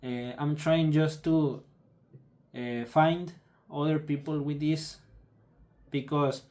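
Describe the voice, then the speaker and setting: Young man, Spanish native speaker, slow, hesitant, searching for words, subdued. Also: a little high, steady tone, a little mellow. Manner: halting, searching for words, many restarts. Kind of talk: personal video update.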